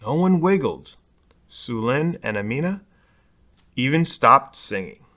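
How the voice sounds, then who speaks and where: Slow, animated man reading a storybook. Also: mid-range voice. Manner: expressive, dramatic emphasis on key words, pausing to point out pictures. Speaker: storybook reader. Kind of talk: children's picture-book read-aloud.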